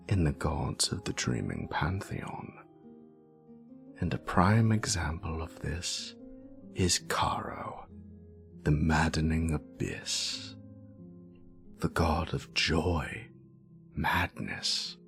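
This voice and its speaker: Grumpy, sassy British wizard professor, played by a man: very low voice, slow theatrical delivery, savouring every word of the lore. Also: heavily acted. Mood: wry, resigned. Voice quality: gravelly, creaky. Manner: wry, theatrical, savouring words with dramatic pauses. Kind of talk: scripted in-character lore monologue.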